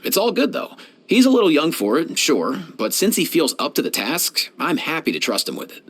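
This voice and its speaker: Laid-back young vet, lively higher voice. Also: male voice, normal speed, full character voice. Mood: relaxed, friendly. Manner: casual, slangy, easygoing. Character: laid-back, kindly young male veterinarian. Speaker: video game character voice actor. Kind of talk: game voice lines, conversational.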